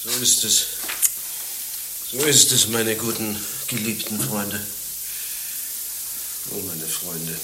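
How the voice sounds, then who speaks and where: A male actor playing a pitiful, lovesick and self-dramatising wretch in a satirical radio play, declaiming plaintively. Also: fairly low pitch. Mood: maudlin. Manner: slow, plaintive, sentimental declamation. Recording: echoey, noisy room, slightly distant.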